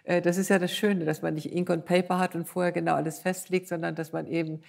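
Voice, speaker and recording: An older woman speaks reflectively as an interview guest. Her voice is creaky and stays within a narrow pitch range. She is thoughtful and hesitant, feeling her way toward the right words. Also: flat delivery, quick pace, darkish tone.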